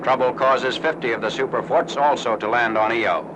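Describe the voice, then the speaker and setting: A noisy old newsreel soundtrack carries an American man's voice at a medium pitch. He narrates in scripted 1940s style, formal and clipped like a military announcer. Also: brisk.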